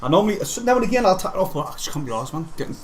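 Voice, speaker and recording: A man's voice: high voice, big pitch swings, very fast. A man, co-hosting a casual football live stream with laughing, sweary banter. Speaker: football channel co-host.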